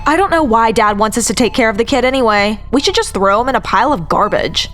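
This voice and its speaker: A woman's voice: on the high side, lively intonation, quick pace, a bit bright. An actress playing a spiteful, scheming young woman in a melodramatic audio drama, whiny and disdainful. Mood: disdainful, annoyed. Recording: dramatic music behind the voice.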